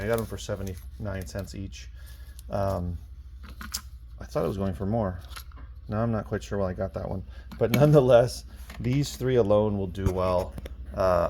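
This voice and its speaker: A man's voice: lowish voice, dark tone. A man chatting casually in a vlog about his own work, offhand and thinking aloud as he goes. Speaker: online reseller and bookstore owner, vlog host. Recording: clear background noise.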